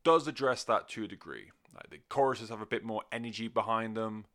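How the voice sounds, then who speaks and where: Low-pitched man with a bright, clear voice. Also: brisk. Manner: rambling, sweary, self-deprecating. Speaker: music album reviewer. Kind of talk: casual unscripted review chat.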